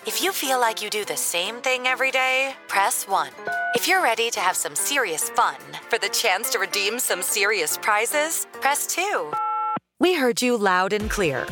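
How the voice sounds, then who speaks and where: Upbeat woman reading a commercial. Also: on the high side. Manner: upbeat, salesy. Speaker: ad announcer. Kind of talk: scripted commercial read. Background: music.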